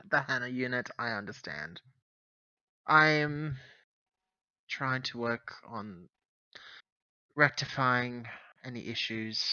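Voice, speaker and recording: A man playing an earnest, conciliatory company officer on a spaceship, halting and placating, in a tabletop game. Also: mid-range voice, pushed and bright, dry recording, lo-fi sound.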